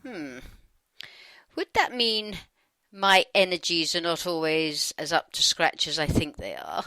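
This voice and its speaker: A British-accented woman reading her side of a dialogue in a chatty, wry, expressive conversational style. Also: on the low side, unhurried, dry recording.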